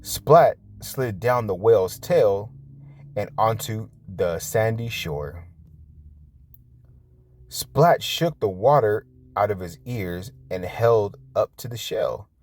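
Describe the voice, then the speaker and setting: A man reading a children's story aloud with an expressive, drawn-out delivery. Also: on the low side. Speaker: storybook reader, host.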